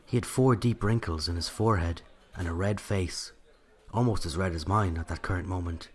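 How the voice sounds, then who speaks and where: A man's voice: sits low, normal speed, husky. An Irish man playing a narrator who recalls a night from his childhood, in scripted first-person storytelling. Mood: reflective.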